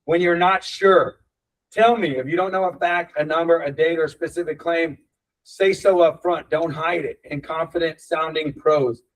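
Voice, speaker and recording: A man's voice: fairly high pitch, steady tone, normal speed, distant mic, poor audio. Brief: man, team-meeting coach, blunt and passionate, speaks away from the mic. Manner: blunt, passionate, swears casually. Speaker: real estate team leader.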